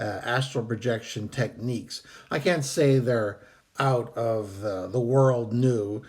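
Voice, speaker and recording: A man whose voice is worn and hoarse from a cold walks the listener through a method step by step. He talks in a relaxed, halting way, pausing often with fillers, at a medium pitch. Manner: relaxed, halting, frequent ums and uhs. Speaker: astral projection teacher. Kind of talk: casual instructional talk.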